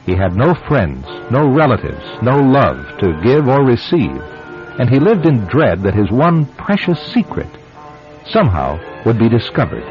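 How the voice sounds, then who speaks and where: A man hosting and narrating a scripted radio drama in a dramatic, deliberate storytelling manner. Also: mid-range voice. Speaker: host and narrator. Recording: muffled old radio broadcast, faint music.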